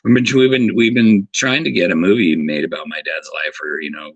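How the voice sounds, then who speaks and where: A man being interviewed as a martial arts instructor, talking earnestly and haltingly, often repeating words as he searches for them. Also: sits low, breathy edge, dry recording, highs cut off. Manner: earnest and grateful. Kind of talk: casual interview talk.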